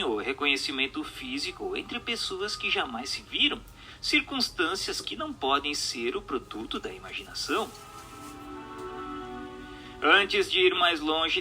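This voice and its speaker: A man's voice: a little high, pushed and bright. A man with a Brazilian accent reading a religious text as scripted narration in a formal, didactic way.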